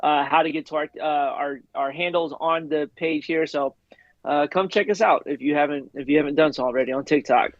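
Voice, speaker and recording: A high-pitched man talks sports in a relaxed, slangy way, often repeating his words. His voice comes through a dull, slightly muffled call line. The sound is dry, with no room around it. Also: fairly quick, no room sound. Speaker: co-host. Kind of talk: casual sports talk. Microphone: video call.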